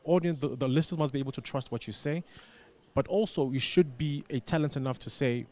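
A man's voice: medium pitch, darkish tone. A man giving conversational interview answers as a studio guest, often repeating words as he builds his point. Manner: repeats words, halting emphasis. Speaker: radio business manager, studio guest.

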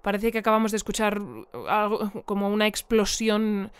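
An actress with a Spain accent playing an earnest, polite news bulletin presenter in an audio fiction mock newscast. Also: female voice, mid-range voice, completely flat delivery, moderate pace, smooth, no echo, natural voice. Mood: puzzled, concerned. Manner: polite, hesitant when questioning.

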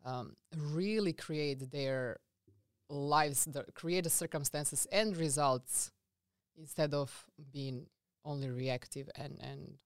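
A woman from Serbia with a Serbian accent, a guest coach telling her story in a casual interview, earnest and halting with many fillers and restarts. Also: low voice, no room sound, clean studio sound.

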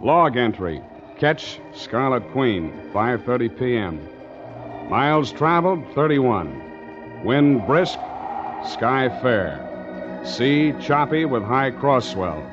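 A man's voice: medium pitch, sing-song, slow. An American actor playing a tough, wry sea captain who narrates his own adventures in a clipped 1940s radio adventure serial. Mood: matter-of-fact. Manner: clipped, wry, hardboiled delivery. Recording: muffled 1940s radio recording with music.

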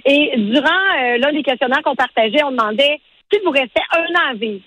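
A woman in her forties with a Quebec French accent, talking as a radio interview guest in a halting, conversational way. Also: high-pitched, fairly bright tone, no echo, low-quality recording. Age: 40s. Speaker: interview guest, event organizer and matchmaker.

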